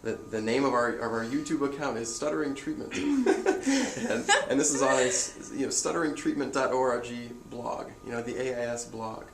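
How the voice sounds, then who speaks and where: A young man asking questions in a loose, halting way full of fillers. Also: mid-range voice, expressive, vocal fry. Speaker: interviewer. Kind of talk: casual conversation. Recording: echoey room, faint noise, voice away from the mic.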